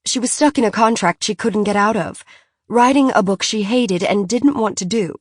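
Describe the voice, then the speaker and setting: A woman's voice: mid-range voice, moderate pace, no echo, studio quality, pitched above the performer's own voice, lightly acted. A woman reading an audiobook, voicing a genteel, fussy mother who talks in a prim, gushing and insistent way. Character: genteel fussy francophile mother, high-strung.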